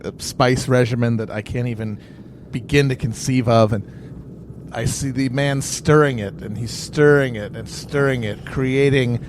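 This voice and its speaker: Male tabletop player, medium pitch, drawn-out delivery, florid mock-horror narration. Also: slight background noise. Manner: melodramatic, halting, ornate. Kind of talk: improvised horror narration in play.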